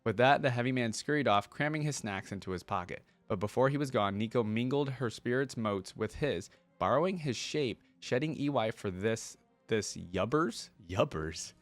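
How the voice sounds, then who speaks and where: A man hosting a reaction video, reading a story aloud with animated delivery and breaking off for casual comments. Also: mid-range voice, moderate pace, few pauses, soft background music.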